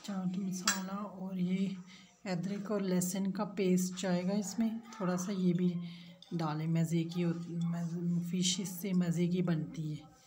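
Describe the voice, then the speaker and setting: A woman casually demonstrating cooking in halting, short phrases, recorded indoors on a handheld phone. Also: lowish voice, flat delivery, dark tone. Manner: halting, short fragmented phrases while working. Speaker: home cook and vlogger. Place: indoors at home. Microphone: handheld phone, held while cooking. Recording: faint noise, homemade phone recording.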